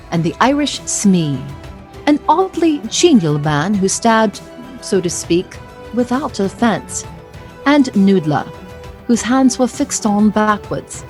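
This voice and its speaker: A woman's voice: medium pitch, a little mellow. A woman narrating an audio play as a wry, theatrical storyteller reading scripted literary prose.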